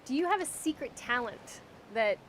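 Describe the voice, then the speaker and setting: A woman in her thirties asking interview questions in a friendly, chatty way, quick to agree. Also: high-pitched, animated, slight background noise. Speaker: interviewer and co-star. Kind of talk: casual interview chat.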